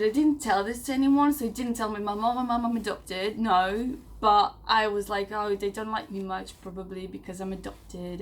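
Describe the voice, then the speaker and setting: A woman in her late twenties from Serbia, chatting casually to the camera in a personal vlog, rambling with fillers and self-corrections. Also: medium pitch. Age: 20s. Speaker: vlogger.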